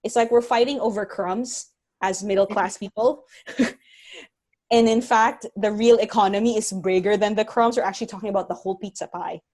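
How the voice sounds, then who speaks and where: A woman's voice: mid-range voice, fairly even intonation, fairly quick, a little mellow. Earnest woman explaining on a video call. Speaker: guest speaker, environmental scientist. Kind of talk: webinar talk and Q&A.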